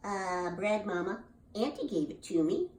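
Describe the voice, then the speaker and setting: A woman's voice: mid-range voice, animated, unhurried, darkish tone, close to an everyday voice. A meek little storybook opossum boy, voiced by a woman, answers in a hesitant, simple-minded way. The voice is subdued and obedient, recorded in an echoey room at some distance. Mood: meek, dim. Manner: hesitant, short answers opening with "uh".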